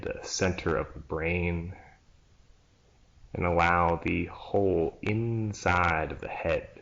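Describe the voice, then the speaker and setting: A man leading a guided yoga nidra relaxation as a teacher, in a hushed, soothing manner with long pauses between short phrases. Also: sits low, vocal fry. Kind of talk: slow guided relaxation meditation. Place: church room, live class. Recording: dull, muffled live recording.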